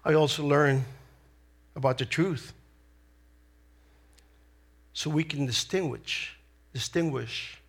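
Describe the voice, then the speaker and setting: A middle-aged man from El Salvador with a Salvadoran Spanish accent, whose first language is Spanish, delivering a church sermon in an earnest, deliberate way. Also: on the high side, slightly rough. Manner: earnest, slow and deliberate with pauses. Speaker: lay preacher.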